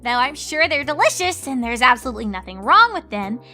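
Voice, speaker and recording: A woman voicing a bookish, earnest unicorn mare in a fan story reading, measured and slightly prim. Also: high voice, big pitch swings, sharp tone, faint music behind, voice pushed up, exaggerated voice.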